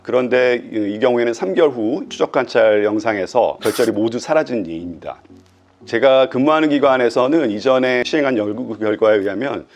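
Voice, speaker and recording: A male medical professor explains step by step in a calm, methodical voice of middle pitch. He pauses now and then to gather his thoughts. Faint music sits under his voice. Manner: calm, methodical, with thinking fillers. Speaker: pulmonology professor.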